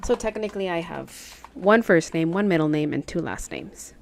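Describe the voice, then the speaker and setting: A woman's voice: fairly low pitch, lively intonation, moderate pace, gravelly, slight background noise. A Mexican American woman whose first language is Spanish, chatting casually with her live chat while she stitches and laughing often. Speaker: cross-stitch livestream host.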